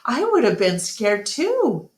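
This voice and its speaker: A woman reads as a grown male gorilla from a storybook, gentle and thoughtful. The speech is mild, earnest and unhurried. There is clear room echo. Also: a little high, huge pitch swings, voice pushed up, full character voice. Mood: mild, curious. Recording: roomy, echoing room sound.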